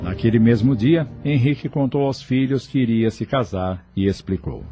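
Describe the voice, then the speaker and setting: A man's voice: mid-range voice, expressive, moderate pace, over music. A man with a Brazilian accent narrating an audio novel from a script.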